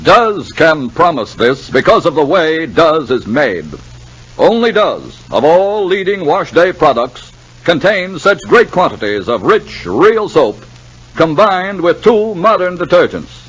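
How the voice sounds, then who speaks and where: Slow, husky man selling on vintage radio. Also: a little high, sing-song, fairly bright tone, poor audio. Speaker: commercial announcer. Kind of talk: 1950s radio advertising pitch.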